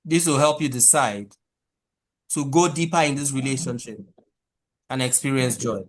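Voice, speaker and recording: A man giving a motivational talk to a class at a medium pitch. He is measured and speaks straight to the listeners.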